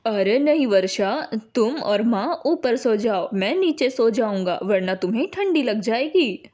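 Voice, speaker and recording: A considerate young husband in a storybook family tale, read by a woman. The delivery is slow, plain and protective, with expressive pitch movement. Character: caring young husband, considerate and self-sacrificing.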